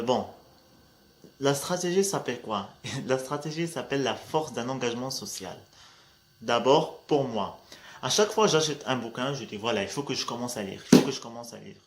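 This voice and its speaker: Un jeune homme à l'marocain, dont l'arabe est la langue maternelle, qui parle en vlog motivant et décontracté, hésite souvent et répète « voilà ». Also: male voice, medium pitch. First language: Arabic. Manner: hesitant, restarts often, says "voilà" a lot, laughs between points. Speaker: vlogger.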